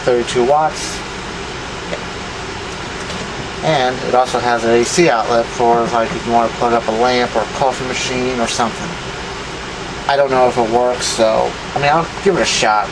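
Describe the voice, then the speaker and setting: Male hobbyist video host, mid-pitched, casual and rambling, over a clearly audible background hum. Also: steady tone, normal speed, pauses often. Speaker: video host showing vintage electronics. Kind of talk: casual unscripted demonstration.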